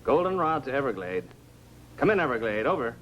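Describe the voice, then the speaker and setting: Casting: male actor for a pressing, quick-spoken 1950s film radio man, muffled vintage sound under heavy music. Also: medium pitch, very animated, lightly acted. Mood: urgent. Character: urgent male radio operator at an isolated base. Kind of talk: 1950s science fiction film acting. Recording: dull old film soundtrack, loud music.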